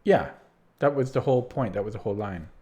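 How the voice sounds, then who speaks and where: A man's voice: lowish voice, animated, quick pace, warm tone, highs cut off. Male streamer, late-night, fatigued and rambling, voice fading. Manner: tired, rambling, many restarts and fillers. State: losing his voice. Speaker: streamer solving chess puzzles. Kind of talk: casual live-stream chat.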